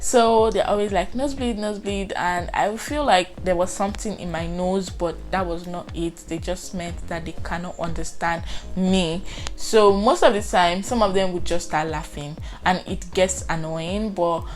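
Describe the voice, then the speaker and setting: Brief: young Nigerian-accented woman, lowish voice, lively vlog chat, faint music under. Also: moderate pace. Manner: chatty and expressive, acts out her reactions. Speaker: vlogger. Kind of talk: casual vlog storytelling.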